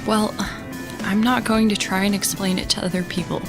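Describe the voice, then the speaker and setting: A woman's voice: mid-range voice, fairly even intonation, moderate pace, over music, natural voice. A woman playing an exasperated young space captain who narrates her story in a sarcastic, conversational way for an audio drama. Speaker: narrator and lead character.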